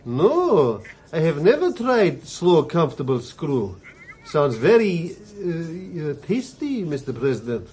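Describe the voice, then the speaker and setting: An actor playing a stiff, formal Russian ambassador with a Russian accent and halting, fastidious delivery in a satirical radio play. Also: male voice, on the high side, sing-song, darkish tone. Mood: formal, wary.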